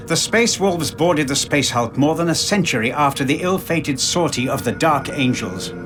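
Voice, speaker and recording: A man playing a grave, knowing storyteller, giving scripted dramatic narration for a war game in a measured, portentous manner. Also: a little high, animated, music in the background, lightly acted.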